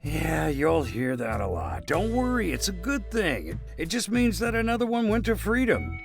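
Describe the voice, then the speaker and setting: A man's voice: high-pitched, huge pitch swings, normal speed, creaky, over music, heavily acted. An actor playing a devout, reassuring older father mouse in an audio drama, with a folksy, placid, preachy way of talking. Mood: earnest, assured.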